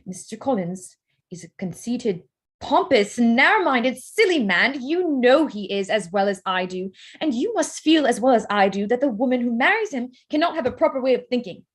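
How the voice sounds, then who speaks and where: Woman playing a spirited, candid young gentlewoman. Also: a little high, lively intonation, normal speed, slightly rough. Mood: indignant. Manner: frank, articulate. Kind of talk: stage-play reading, period drama.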